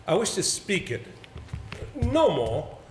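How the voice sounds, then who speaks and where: An actor playing an earnest, troubled gentleman in an amateur stage play, speaking formally and hesitantly. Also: sits high, huge pitch swings, slow pace, full character voice. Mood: earnest, defensive. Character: earnest, troubled gentleman, an untrained nervous reader. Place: indoor theatre stage. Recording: roomy stage recording with faint noise.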